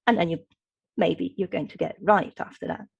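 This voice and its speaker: A woman's voice: lowish voice, fairly even intonation, a little fast, gravelly, slightly rough, no room sound, highs cut off. An Italian woman speaking English with an Italian accent, a linguistics professor patiently explaining to an interviewer as a guest. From Italy. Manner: patient teacherly explaining, often checks with "okay?".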